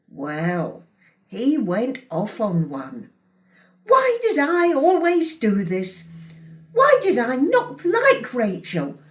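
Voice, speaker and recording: Woman acting a slow, wounded, possessive mother, very expressive. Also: on the high side, distant mic. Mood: aggrieved. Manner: indignant, deliberate, emphatic with dramatic pauses. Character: possessive, wounded, self-righteous mother of a grown son. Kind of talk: dramatic monologue, confiding storytelling.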